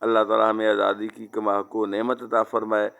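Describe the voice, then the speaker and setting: A man's voice: on the low side, completely flat delivery, normal speed, gravelly, fairly bright tone. A man preaching an Islamic devotional sermon, exhorting listeners like friends and weaving in repeated recited Arabic phrases.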